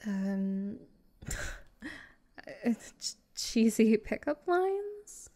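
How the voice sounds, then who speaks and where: A woman's voice: fairly high pitch, big pitch swings, extremely slow, mellow, exaggerated voice. A woman playing a haughty, possessive vampire girl in a comedic roleplay audio drama, doting and teasing in her delivery. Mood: flustered. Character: smug, possessive, lovestruck vampire girl with a mansion.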